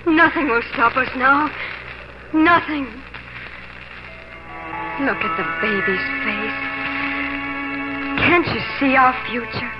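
Tender young pioneer wife, old radio. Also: female voice, high voice, takes it slowly, pauses often. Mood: hopeful, dreamy. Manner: tender, dreamy, earnest. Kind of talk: 1940s radio drama acting. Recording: dull 1940s radio recording, music behind.

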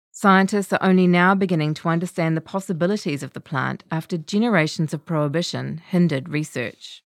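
A woman reading a scripted feature article in a measured, composed way. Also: lowish voice, no room sound, clean studio sound. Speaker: narrator of a long-read podcast.

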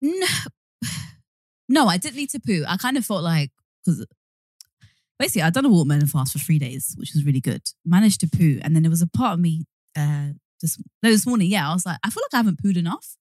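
A woman's voice: fairly low pitch, lively intonation, a little fast, darkish tone, no room sound. A woman, co-hosting a casual podcast and telling stories with laughs. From East London. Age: 30s. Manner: laughing, storytelling. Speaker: podcast co-host. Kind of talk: casual chat.

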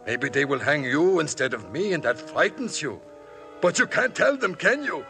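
A man's voice: a little high, sing-song, normal speed, vocal fry, sharp tone, faint music behind, heavily acted. An actor playing a frightened yet menacing man who taunts and sneers in a 1950s radio drama. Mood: taunting.

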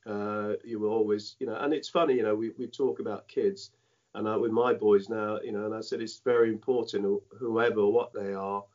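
This is a fairly low-pitched man who grew up in Dominica and first spoke patois, and he tells his story in a halting way, circling back with lots of "you know." The sound is dull and lo-fi, as if recorded on a muffled line. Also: fairly even intonation, darkish tone. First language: Dominican French patois. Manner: halting, many "you know" fillers and restarts. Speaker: former cricketer, guest. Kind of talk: reflective interview storytelling.

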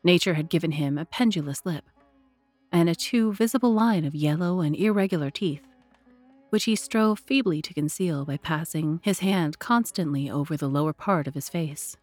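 A woman narrating a literary tale as a storyteller, measured, deliberate and expressive. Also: sits low, no room sound, faint music behind. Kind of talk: dramatic scripted literary narration.

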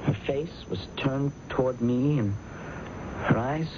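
An actor playing a timid, flustered office worker in a radio drama, hesitant, deferential and stammering. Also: male voice, medium pitch, takes it slowly, lightly acted. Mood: nervous, hesitant. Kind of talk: old-time radio drama acting. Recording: dull, noisy old radio broadcast.

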